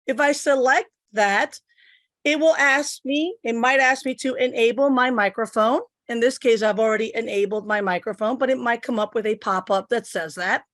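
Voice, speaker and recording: A woman's voice: on the high side, moderate pace, a bit bright, no room sound, clean studio sound. A middle-aged woman from Puerto Rico giving a step-by-step tech demo at her computer, explaining each click as she goes. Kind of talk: instructional screen demo. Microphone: computer microphone.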